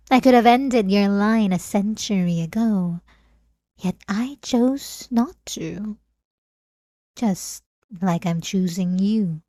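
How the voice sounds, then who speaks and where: Slow, possessive vampire woman, acted by a woman. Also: medium pitch, warm tone, no echo, studio quality, close to an everyday voice. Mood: seductive, taunting. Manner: slow, theatrical, seductive taunting with drawn-out pauses. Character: obsessive, possessive, manipulative centuries-old female vampire lover. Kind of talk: ASMR roleplay acting.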